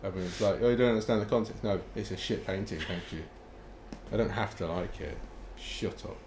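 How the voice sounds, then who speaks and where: A man's voice: deep voice, brisk, darkish tone, a little hiss or hum. A man hosting a podcast, chatting casually in a dry, laid-back way, trailing off with ums. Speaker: podcast host. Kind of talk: casual chat.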